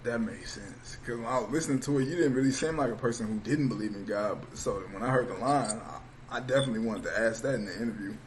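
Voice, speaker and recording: A man hosting an interview, asking loose, rambling questions in relaxed slang. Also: medium pitch, fast talker, few pauses, a little hiss or hum. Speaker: interviewer, host.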